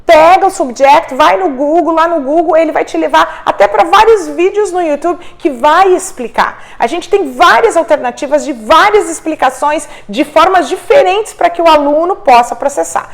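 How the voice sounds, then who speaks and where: A woman's voice: extremely high pitch, moderate pace, few pauses. A middle-aged immigrant mother and host, encouraging parents about homeschooling, mixing English words into her talk. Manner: warm, encouraging, mixes English words into Portuguese.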